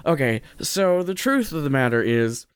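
A man's voice: medium pitch, sing-song, moderate pace, smooth. A man talking casually as a podcast host, rambling and correcting himself in an informal, jokey way. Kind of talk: casual solo podcast talk, recommending.

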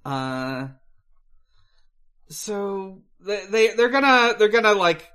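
A man co-hosting a podcast, casually recapping a film in a halting way, searching for words. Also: sits high, sing-song, bright, forceful tone, smooth. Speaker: podcast co-host.

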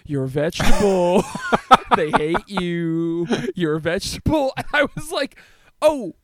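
Male podcast co-host, high and very lively pitch, jokey casual chat, laughs through his lines. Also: a little slow, no echo, clean studio sound. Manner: jokey, laughs often while talking.

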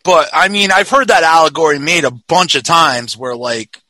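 Bright-voiced man around forty. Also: fairly high pitch, normal speed, no room sound. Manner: emphatic, profane. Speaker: podcast host. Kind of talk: casual film discussion.

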